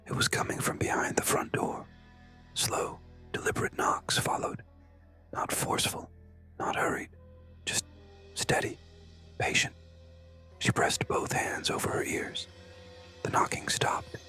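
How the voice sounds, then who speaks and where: A whispering storyteller narrates horror over background music. The delivery is hushed and suspenseful, leaving pauses between short phrases. The whisper is breathy and very bright, with no voiced tone at all. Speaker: narrator of scary stories. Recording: music behind.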